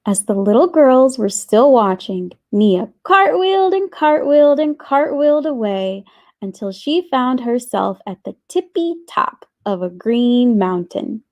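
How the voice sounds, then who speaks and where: A woman reading a children's storybook aloud with expressive, deliberate phrasing. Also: on the high side. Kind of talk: scripted storybook narration.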